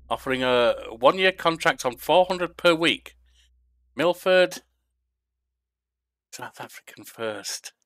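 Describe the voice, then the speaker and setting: Older man, bright voice, game commentary. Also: mid-range voice, no echo. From England. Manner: reads interview questions aloud and answers them himself. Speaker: gaming YouTuber. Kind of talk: casual let's-play commentary. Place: home room at a desk.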